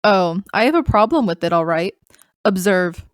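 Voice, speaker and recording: A woman voicing a confident female bunny prosecutor in an animated courtroom story, composed and sardonic. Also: mid-range voice, moderate pace, dry recording, clean studio sound, lightly acted. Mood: cool, assured. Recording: clear music behind the voice.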